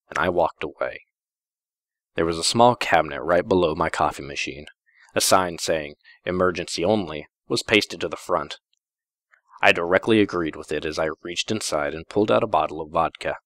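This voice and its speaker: A man's voice: low-pitched, a bit bright, no echo, clean studio sound. A man narrating a first-person story in a wry, theatrical way. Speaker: fan fiction reader.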